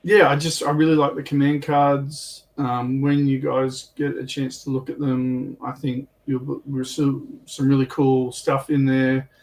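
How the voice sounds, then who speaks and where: A man's voice: medium pitch, level tone, a little slow, few pauses, darkish tone. A man chatting casually as a panelist. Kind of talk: casual hobby chat. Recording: distant from the microphone.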